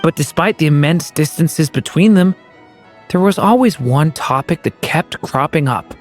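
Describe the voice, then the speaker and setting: A gentle young boy from a video game, voiced by an actor. He is sincere and earnest, his pitch moving in lively swings, with faint music behind him. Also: moderate pace, lightly acted. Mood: earnest, admiring.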